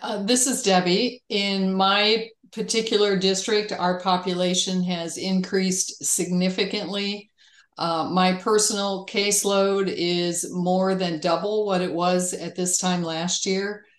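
Slow, flat-voiced woman in an echoey room. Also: medium pitch. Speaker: EL teacher, guest.

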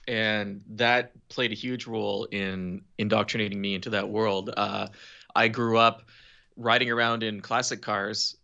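A man from Quebec, Canada, an automotive journalist telling stories as a guest in a casual podcast interview, relaxed and wryly humorous. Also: on the low side, gravelly, lo-fi sound. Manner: relaxed storytelling, wry humor.

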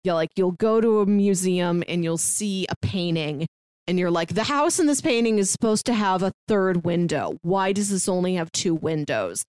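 A woman co-hosting a podcast over a remote call, chatting casually and excitedly, with lots of "like" as she talks. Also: on the low side, normal speed, clear, resonant tone, no room sound. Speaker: podcast co-host. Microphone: remote call mic.

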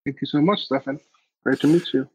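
A man's voice: mid-range voice, a little fast, darkish tone, breathy edge, no echo, rough recording. A man from Iceland answering interview questions as a guest, picking his words hesitantly and thoughtfully. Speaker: podcast guest, agency CEO.

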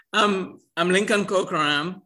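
A man's voice: sits high, pushed and bright. A man in his seventies from Trinidad and Tobago with a Trinidadian accent, a podcast guest telling stories in a chatty way, laughing often and restarting sentences. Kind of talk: storytelling interview answers.

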